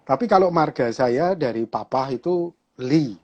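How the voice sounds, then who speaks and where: A man with a Javanese accent, a pastor talking casually and relaxed in an online conversation, chatty and quick to laugh. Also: mid-range voice, dull audio. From Java, Indonesia.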